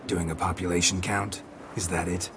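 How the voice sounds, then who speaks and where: A man's voice: deep voice, normal speed, gravelly, noisy background. A man playing a stoic, world-weary monster hunter in a video game cutscene, speaking in terse, dry lines. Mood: dry, skeptical. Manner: terse, deadpan.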